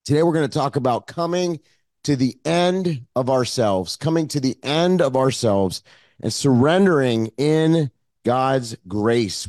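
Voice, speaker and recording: A man with a hoarse, froggy voice, preaching an online Sunday sermon as pastor in an earnest, conversational way with frequent fillers. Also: mid-range voice, darkish tone, no echo, studio quality.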